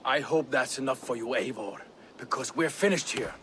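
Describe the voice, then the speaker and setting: An actor playing a proud, honourable Viking warrior in a video game, speaking earnestly and formally. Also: male voice, medium pitch, normal speed, bright, forceful tone, a little hiss or hum, lightly acted. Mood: offended. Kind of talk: video game cutscene acting.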